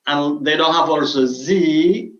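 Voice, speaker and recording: A man's voice: fairly high pitch, slow pace. Male teacher, hesitant delivery, roomy and dull audio. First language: Somali. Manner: hesitant, many fillers. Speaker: guest language instructor. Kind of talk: explanatory conversation.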